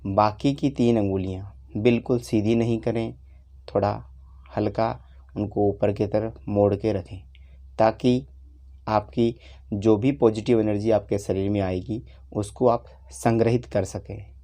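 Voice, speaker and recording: Slow, low-voiced man guiding meditation. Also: fairly even intonation, dark tone. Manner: unhurried, instructive, with long pauses between short phrases. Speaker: meditation teacher. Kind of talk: guided meditation instruction.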